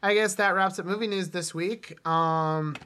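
A man co-hosting a casual film podcast, talking in a halting way that circles back mid-sentence. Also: high-pitched, steady tone, sharp tone, clean tone. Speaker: movie podcast co-host.

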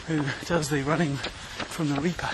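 A man's voice: a little high, steady tone, normal speed. Panting man talking on a run. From Australia. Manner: chatty, slightly out of breath while running. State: panting from running. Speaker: podcast host. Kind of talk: casual running vlog monologue. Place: outdoors, running on hilly streets. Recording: loud outdoor background noise.

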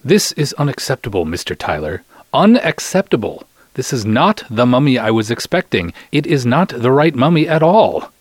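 A man's voice: mid-range voice, big pitch swings, normal speed, dry recording, voice pushed up. A man voicing an indignant older Egyptian man who speaks emphatically in a dramatic story reading.

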